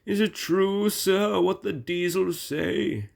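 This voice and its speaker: A man's voice: sits high, slow, vocal fry, a little mellow, pitched above the performer's own voice, heavily acted. A man plays a proud steam locomotive from a storybook. He grumbles pompously and gets huffy. There is a gloomy, mournful weight to how he talks. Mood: mournful, anxious.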